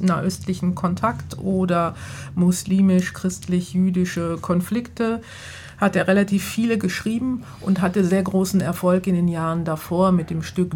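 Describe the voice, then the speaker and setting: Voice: medium pitch, darkish tone, slight background noise. A theater maker talking fluently in a radio studio interview and explaining the background of a play. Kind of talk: casual interview talk.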